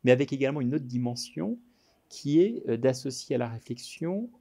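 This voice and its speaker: A man gives a careful, halting formal interview statement as a delegate. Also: medium pitch, lively intonation, warm tone. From France. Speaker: French delegate.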